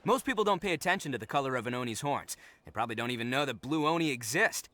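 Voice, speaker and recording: A man's voice: mid-range voice, lively intonation, pushed and bright. A man voicing a proud, earnest oni gang leader in a video game, telling things dramatically with heavy emphasis. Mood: earnest, resolute. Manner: dramatic, emphatic storytelling with sighs and drawn-out words. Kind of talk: animated video game voice acting.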